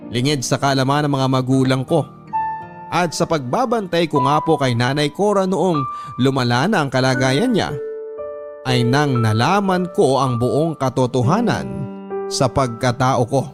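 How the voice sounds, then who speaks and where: A man's voice: mid-range voice, unhurried. A Filipino man reading a heartfelt first-person story as a radio drama narrator. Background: soft music.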